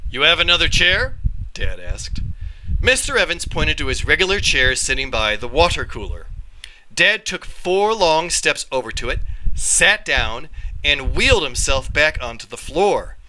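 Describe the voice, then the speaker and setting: A man tells a children's story with lively, wide-ranging intonation. His voice is strikingly bright and sits a little high for a man. He reads expressively, as a storyteller would. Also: unhurried, slight background noise, low-quality recording. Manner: animated, acts out dialogue. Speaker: reader, storyteller.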